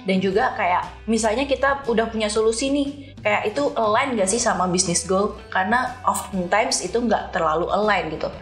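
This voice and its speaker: A woman's voice: mid-range voice, moderate pace. A woman explains her work casually in an interview, using examples and dropping in English terms. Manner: explains with examples and analogies, informal. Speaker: guest, senior data scientist. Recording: roomy echo, faint music behind.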